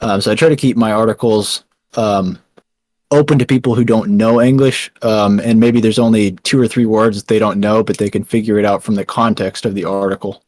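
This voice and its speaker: A man's voice: lowish voice, fairly even intonation, brisk, a little mellow, no room sound. A young man with a Texan accent, answering interview questions with many ums and restarts. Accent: Texan American. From Texas, USA. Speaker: founder and writer of a small news site.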